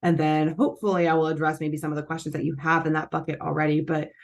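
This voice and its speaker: A woman's voice: low voice, flat delivery, fast talker, vocal fry. A woman hosting a nutrition channel, explaining things in a casual, chatty way with lots of fillers and self-corrections. Her voice is scratchy and congested from allergies. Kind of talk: casual explanatory talk to camera.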